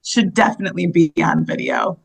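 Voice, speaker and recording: A woman in her fifties from the United States, a guest telling stories in a casual interview, animated and laughing often. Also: fairly low pitch, a little fast, vocal fry. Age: 50s. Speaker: guest, solo travel coach.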